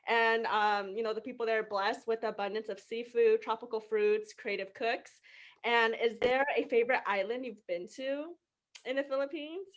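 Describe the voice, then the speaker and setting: A woman from the United States, hosting a podcast and asking questions calmly. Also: on the high side, moderate pace. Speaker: podcast host. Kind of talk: casual podcast chat.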